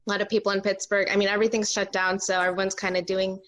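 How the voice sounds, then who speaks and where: A woman's voice: medium pitch, level tone, racing, a bit bright. A woman with a mid-range voice talks in a casual, conversational way. She is an actor catching up with colleagues over a remote call. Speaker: actor on a virtual table read. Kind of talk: casual chat.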